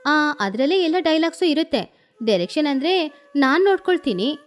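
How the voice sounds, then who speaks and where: A woman's voice: high-pitched, brisk, faint music behind. A woman as a chatty cheerful pigeon aunt. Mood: excited. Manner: lively, excited storytelling.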